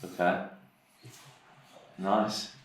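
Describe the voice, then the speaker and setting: A man's voice: sits low, flat delivery, very slow, very echoey, distant mic, slight background noise. A man hosting an interview show, asking brief prompting questions. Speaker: host. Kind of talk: interview chat.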